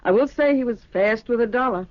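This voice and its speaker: An actress playing a breezy, worldly young mistress in a radio drama, speaking in casual, matter-of-fact slang. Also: female voice, a little high, fairly quick, no echo, close to an everyday voice. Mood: frank, casual. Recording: dull vintage radio recording.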